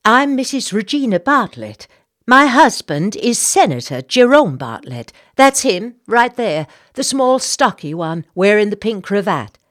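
A woman's voice: mid-range voice, sing-song, measured pace, pitched above the performer's own voice. A woman voicing a petite, genteel and insistent society lady, a talkative senator's wife, in audiobook dialogue. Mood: genteel, chatty.

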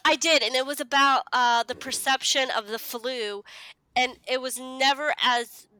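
A woman's voice: sits high, fairly even intonation, unhurried, bright, forceful tone, clear, resonant tone, no room sound, poor audio. A woman from the United States, speaking as a podcast guest and explaining things in a casual, conversational way.

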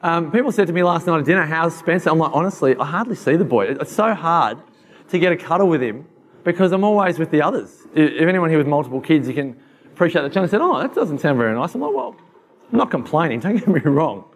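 A hoarse man in his thirties giving a fast, animated motivational talk to an audience. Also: fairly high pitch. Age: 30s. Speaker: keynote speaker.

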